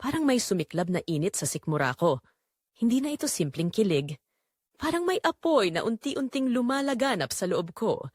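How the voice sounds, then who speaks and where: A woman playing a guilt-torn, longing middle-aged mother-in-law, narrating an audio drama in deliberate, emotive first-person phrases. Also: medium pitch, sing-song, a little mellow, no room sound, clean studio sound.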